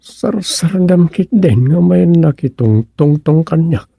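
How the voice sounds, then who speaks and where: Weary village chairman, Ilocano accent, husky gravelly voice, wide swooping pitch, radio comedy. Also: animated, measured pace, mellow, no room sound, studio quality, heavily acted. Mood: exasperated. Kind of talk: radio drama comedy acting.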